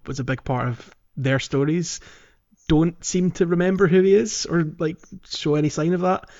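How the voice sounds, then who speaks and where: A man's voice: on the high side, darkish tone, dull audio. A man co-hosting a gaming podcast in casual conversation. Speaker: podcast host. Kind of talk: casual chat.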